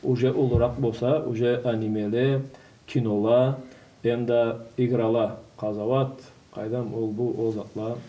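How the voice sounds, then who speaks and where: A man's voice: mid-range voice, flat delivery, a little slow, warm tone. A man who is a native Karachay-Balkar speaker talking in an informal religious discussion. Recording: distant mic, noticeable background noise.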